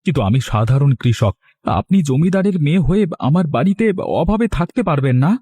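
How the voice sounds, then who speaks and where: Man acting a mellow-voiced humble young farmer. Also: a little high, sing-song, brisk, husky, dry recording. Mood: bewildered, protesting. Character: humble, honest poor young farmer and husband. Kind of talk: cartoon story voice acting.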